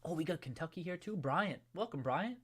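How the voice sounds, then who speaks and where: A man's voice: on the high side, lively intonation, fairly quick, breathy edge. Chatty man hosting a livestream. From New England. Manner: loose and chatty, many restarts, hums a tune. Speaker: livestream host. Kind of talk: casual livestream chat reading viewer comments.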